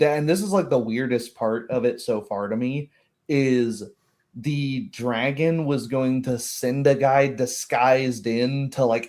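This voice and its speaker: A man with a mid-pitched voice talks casually as a tabletop game master. He rambles through his thoughts and slips into quick acted-out quotes to make his points. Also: a little mellow. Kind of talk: casual chat about a tabletop game session.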